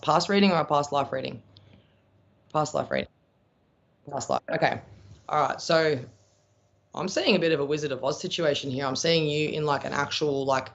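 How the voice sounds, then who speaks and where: Gravelly Australian man, blunt and chatty. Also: a little high. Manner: blunt, chatty, calls viewers pet names. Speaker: psychic medium. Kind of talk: live-stream reading of viewer questions. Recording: dull lo-fi stream audio.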